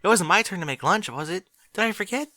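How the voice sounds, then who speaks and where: A man's voice: high voice, expressive, fast talker, sharp tone, no echo, voice pushed up. A man voicing a fretful bookish pony mare. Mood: flustered. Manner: anxious, flustered.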